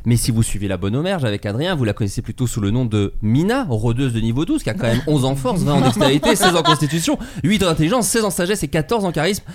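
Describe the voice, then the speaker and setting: A man's voice: mid-range voice, quick pace, hardly any pauses. A man hosting a casual comedy podcast in French, joking and laughing often. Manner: lively, jokey, laughs often. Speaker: podcast host.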